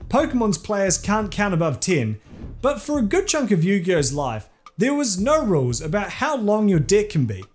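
A young man hosting a scripted comedic commentary video, sarcastic and crude in his jokes. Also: sits high. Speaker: video essay host. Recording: faint background music.

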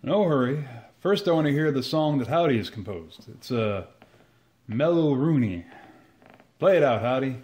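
A man performs an older gentleman from an old-time radio comedy. He is genial and folksy, eager to sound young with borrowed slang. His pitch sits in the middle. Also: expressive, normal speed, natural voice.

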